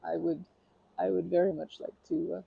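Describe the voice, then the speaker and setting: A performer in an ASMR roleplay playing a nervous, polite stranger who flirts shyly in a wordy, hesitant ramble, with a husky, creaky voice. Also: medium pitch, level tone, normal speed, warm tone, dry recording, muffled recording. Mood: shy, hopeful.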